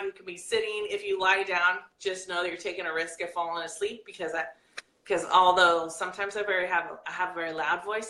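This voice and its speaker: A woman with a bright, forceful voice coaches her viewers live on camera. She talks in a lively way and reads comments aloud, then slows into a soft guided breathing exercise. Her voice rings a little in an echoey room. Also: mid-range voice. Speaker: life coach hosting a live video.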